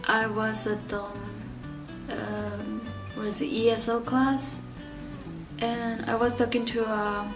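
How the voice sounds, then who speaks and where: A woman in her thirties from Ecuador telling her own story in an interview, speaking haltingly with many fillers and restarts. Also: on the high side, level tone, far from the mic, over music. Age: 30s. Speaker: interview guest.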